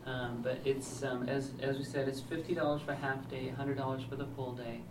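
A man's voice: medium pitch, level tone, a little fast. A man in his thirties, interviewer and camera operator, talking casually with many hesitant fillers. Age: 30s. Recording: echoey room, distant noisy mic.

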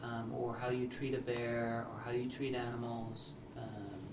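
A man's voice: mid-range voice, flat delivery, a little slow. Man talking hesitantly in an echoey room. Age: 30s. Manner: hesitant, many fillers. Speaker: interviewer and camera operator. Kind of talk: casual interview setup. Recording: echoey room, distant noisy mic.

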